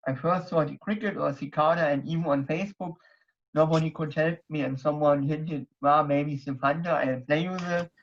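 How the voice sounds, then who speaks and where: A man's voice: a little high, steady tone, normal speed. A young man from Germany speaking English with a German accent, giving a hesitant online talk full of fillers and restarts. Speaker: presenter at a society members night. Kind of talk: casual online talk with slides. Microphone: computer mic on a video call. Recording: dull lo-fi video call audio.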